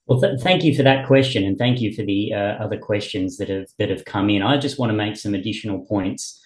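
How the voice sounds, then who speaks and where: A man with a fairly low voice gives a formal, raised reply to audience questions. He sounds some way from the microphone in an echoey room. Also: fairly quick. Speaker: meeting speaker. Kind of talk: formal reply at a political meeting. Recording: echoey room, away from the mic.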